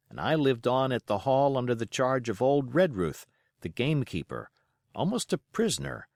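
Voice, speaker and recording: A man reads a scripted story as an audiobook narrator. His delivery is measured yet expressive, with lively pitch movement and a dry, close sound. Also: no room sound.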